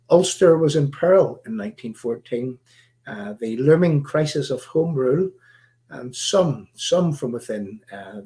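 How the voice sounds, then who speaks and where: A man with a Northern Irish accent hosting a live stream, talking in a halting, rambling way full of fillers, his voice croaky. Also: on the high side, a little mellow. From Northern Ireland. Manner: halting, many fillers and restarts. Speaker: livestream host.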